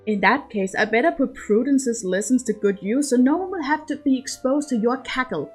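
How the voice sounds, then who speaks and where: A woman's voice: high voice, expressive, normal speed, darkish tone, faint music behind, voice pushed up. A woman voicing a playful, easily flustered young noblewoman in a storybook reading, with a girlish, genteel, exclamatory manner.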